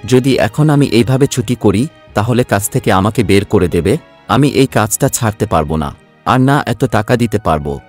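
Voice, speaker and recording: A man's voice: fairly low pitch, expressive, normal speed, a little mellow, faint music behind. A man playing a self-centred young working son from a village in a cartoon story, speaking emotionally. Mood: indignant, dismissive.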